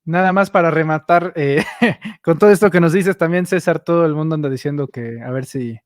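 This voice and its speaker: A man's voice: on the high side, brisk, no echo, studio quality. A man hosting an interview, friendly and playful with his guest. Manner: friendly and joking, laughs often. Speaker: interview host.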